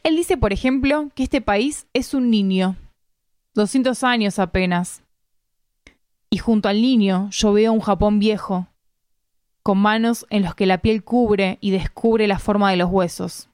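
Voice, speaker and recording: A woman from Argentina with an Argentine Spanish accent reads a literary first-person story aloud in measured, deliberate phrasing. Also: medium pitch, darkish tone, no echo, clean studio sound. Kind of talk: literary narration, read aloud.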